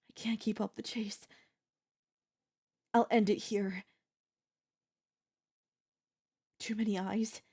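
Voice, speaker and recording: A woman's voice: medium pitch, mellow, close to an everyday voice. A woman playing an obsessive, scheming yandere in a theatrical, haughty manner with formal phrasing, in an ASMR audio roleplay. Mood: stunned, smitten.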